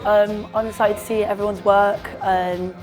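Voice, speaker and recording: A woman from Somerset, a contestant speaking brightly with hesitant fillers. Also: medium pitch, flat delivery, moderate pace, faint music behind, poor audio. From Somerset, England.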